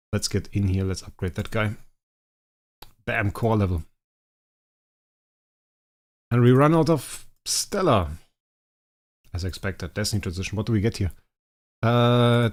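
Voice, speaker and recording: German-speaking man thinking aloud on stream. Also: fairly low pitch. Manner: thinking aloud, often trailing off quietly. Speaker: game streamer. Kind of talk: casual livestream commentary.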